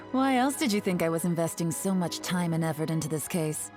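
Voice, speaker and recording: A woman's voice: fairly low pitch, animated, normal speed, music in the background, lightly acted. A woman voicing a cool, calculating spy. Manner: composed, wry, self-assured. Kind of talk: game cutscene dialogue.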